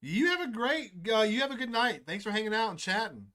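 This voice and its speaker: A gaming YouTuber reading visual novel dialogue aloud in an expressive way, reacting along and sometimes stumbling over long words. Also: mid-range voice, fairly quick.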